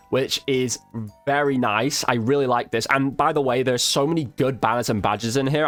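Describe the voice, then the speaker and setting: A man's voice: medium pitch, a bit bright. A man giving casual, chatty commentary on a game update, informal and lightly sweary. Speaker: gaming video creator and commentator.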